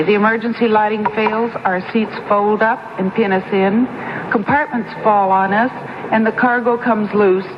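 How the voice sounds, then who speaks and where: A woman delivers formal, emphatic prepared testimony. Her voice rings with long reverb in a big room. The old radio sound is muffled, with clear noise behind her. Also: mid-range voice. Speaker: flight attendants' union safety director.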